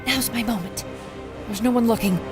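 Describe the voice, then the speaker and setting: A woman's voice: a little high, moderate pace, over loud music, natural voice. A woman playing a plucky adventurer reporter in an audio drama, confiding urgently to her listeners. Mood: furtive, excited. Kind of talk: audio drama acting, narrating into a recorder.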